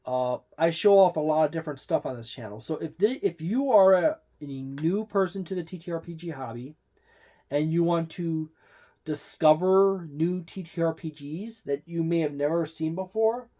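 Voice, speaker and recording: A man's voice: on the high side, moderate pace, dark tone. A man hosting a tabletop game channel, giving a casual product review in a rambling way with many fillers and restarts.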